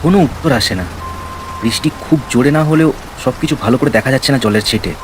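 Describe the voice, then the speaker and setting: A man's voice: mid-range voice, fast talker, background noise. A man reading a scripted horror story as narrator, in storytelling style. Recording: rain and ambience behind the voice. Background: rain sound effects.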